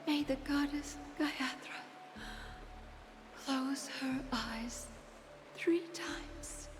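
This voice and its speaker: Game mother: very slow, high, breathy and reverent, in long reverb with music behind. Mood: tender blessing. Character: frail, grieving, devout mother blessing her newborn son. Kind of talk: dramatic video game voice acting.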